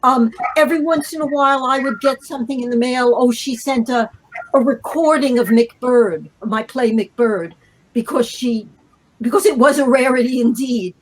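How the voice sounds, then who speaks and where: An older woman, a playwright and guest, reminiscing off the cuff in a halting way that circles back over phrases. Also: sits high, a little hiss or hum, poor audio. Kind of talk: spontaneous reminiscence.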